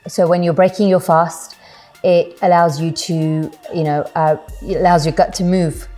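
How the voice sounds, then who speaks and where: A middle-aged woman with a British accent talks through health advice in an informative, step-by-step way, with plenty of fillers along the way. Her voice sits a little low and sounds mellow and warm. Soft music plays faintly behind her. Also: flat delivery, moderate pace. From Britain. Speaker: guest health expert. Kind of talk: explanatory interview talk.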